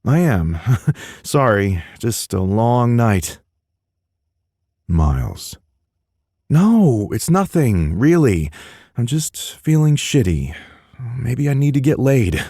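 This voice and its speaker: A man's voice: on the low side, big pitch swings, a little slow, a little mellow, no echo, clean studio sound, voice pushed up. A man doing a wisecracking young college guy. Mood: bantering. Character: young college man, joking yet guarded. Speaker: college student.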